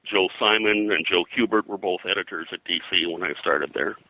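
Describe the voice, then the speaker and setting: Very deep, bright-edged older man. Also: brisk, dry recording, rough recording. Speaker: interview guest, veteran comics colorist. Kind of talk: reminiscing in an interview.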